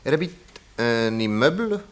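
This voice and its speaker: An actor playing a skeptical private detective who questions courteously and insistently in a French radio drama. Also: male voice, medium pitch, sing-song, moderate pace, a little hiss or hum, lightly acted.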